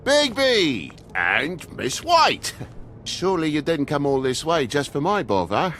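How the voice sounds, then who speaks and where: A man's voice: fairly high pitch, big pitch swings, measured pace, a bit bright, a little hiss or hum, exaggerated voice. A man with a British accent voicing a grumpy, evasive toad father in a video game, rambling and slangy in a defensive way. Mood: nervous, sarcastic. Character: grumpy, evasive, chatty toad father, a working-class fable.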